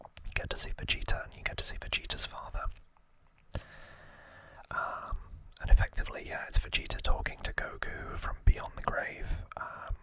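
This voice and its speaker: A man whispers in a very bright, breathy ASMR delivery, with no full voice behind it. He talks as an informal host recapping trivia, musing his way through with hesitant asides. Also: moderate pace, rough recording.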